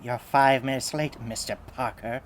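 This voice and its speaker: Man playing a stern, mid-pitched schoolteacher. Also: steady tone, moderate pace, close to an everyday voice. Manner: stern, clipped. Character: strict, unbending male school teacher.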